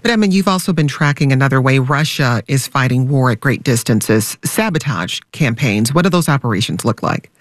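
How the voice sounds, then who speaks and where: A man's voice: medium pitch, expressive, a little fast, few pauses, no echo, clean studio sound. A man hosting a broadcast interview program, putting questions to guests and introducing them. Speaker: radio program host.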